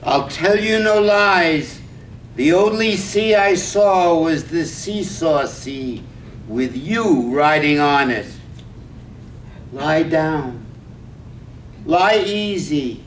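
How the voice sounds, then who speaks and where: Actor as deliberate, yearning old captain for a radio-play reading, lingering pace, faint noise behind. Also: male voice, lively intonation. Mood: tender. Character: old blind sea captain, dreaming, amorous. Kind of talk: staged reading of a poetic radio drama. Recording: distant mic, faint rumbling noise.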